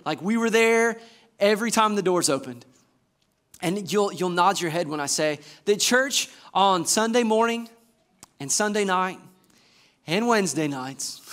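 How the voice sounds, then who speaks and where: A man in his thirties from Georgia, an assistant pastor preaching a lively sermon on a church stage and asking the congregation questions. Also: high voice. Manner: enthusiastic, gestures widely, asks the congregation questions. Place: church stage before a screen.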